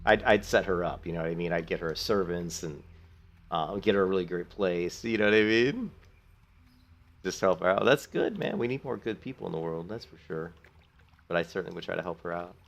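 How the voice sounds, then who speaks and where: Deep-voiced man with a sore throat. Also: fairly quick, gravelly. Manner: friendly, rambling, thanks viewers. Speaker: streamer. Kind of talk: casual chat while gaming.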